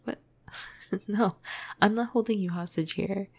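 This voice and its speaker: A woman playing a flirty, cuddly vampire crush in a breathy, casual ASMR roleplay, half-whispering close to the microphone. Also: medium pitch, a little slow, no echo. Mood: amused, teasing. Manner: casual, playful, hesitant, breathy murmur.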